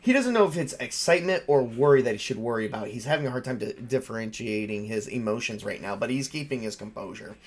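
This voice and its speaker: A man's voice: medium pitch, lively intonation, fast, few pauses. Male game master, mid-range voice, informal tabletop narration, conversational delivery.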